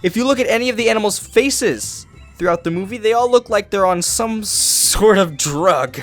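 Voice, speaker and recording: A man's voice: high voice, expressive, normal speed, soft background music. A young man narrating a mocking comedic review of a bad movie. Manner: sarcastic, mocking. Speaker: video reviewer.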